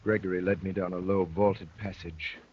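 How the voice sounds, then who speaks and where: A man acting a courteous, wry gentleman poet who narrates his strange adventure in measured, literary phrases, in 1930s radio drama style. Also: low voice, level tone, heavy background noise, natural voice. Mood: grave, wondering. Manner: measured, literary, dryly polite. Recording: muffled, worn 1930s radio recording.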